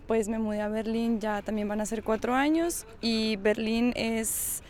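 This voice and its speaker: A woman's voice: on the high side, fairly even intonation, a little slow, a little mellow, slight background noise. A woman from the northern Mexican borderlands with a northern Mexican accent, speaking spontaneously as an activist interviewee and often feeling for her words. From Mexicali and the Sonora-California borderlands of northern Mexico. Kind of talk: spontaneous spoken testimony.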